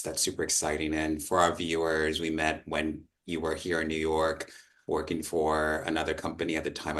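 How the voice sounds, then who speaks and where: A man's voice: rumbling low, fairly bright tone. A man hosting an interview, fluent and enthusiastic as he introduces and sums up. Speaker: host.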